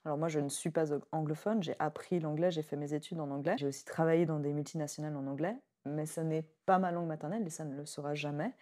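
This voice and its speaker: A woman, hosting a podcast in relaxed conversation. She talks reflectively and laughs easily. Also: deep voice, fast, hardly any pauses. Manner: chatty, reflective, laughs easily, leans on "en fait". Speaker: podcast host.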